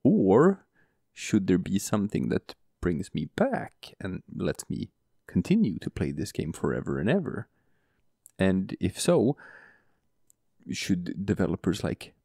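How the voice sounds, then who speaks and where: A male podcast co-host with a booming voice, talking softly and slowly right next to the microphone in casual chat, often chuckling. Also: no room sound. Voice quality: booming, gravelly voice. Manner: soft, close-mic murmur, chuckles often. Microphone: close studio mic.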